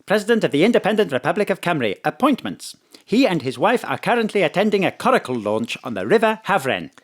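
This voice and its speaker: A prim robotic assistant, voiced by a woman for a comic audio drama. It speaks quickly in formal, stilted phrases, with lively swings in pitch. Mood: prim, unbothered. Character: prim, literal-minded robotic car assistant.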